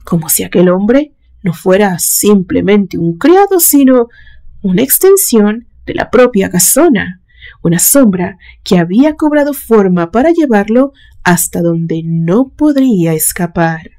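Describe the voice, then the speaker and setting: A woman reading a literary suspense story aloud in a measured, dramatic storytelling manner. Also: mid-range voice, big pitch swings, warm tone. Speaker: narrator reading a horror story.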